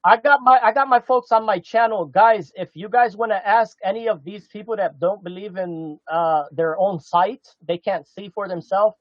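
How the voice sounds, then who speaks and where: A man's voice: high-pitched, normal speed, hardly any pauses. A man arguing insistently as a livestream panel guest, repeating his phrases and talking over others, with dull lo-fi audio. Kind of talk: casual heated argument.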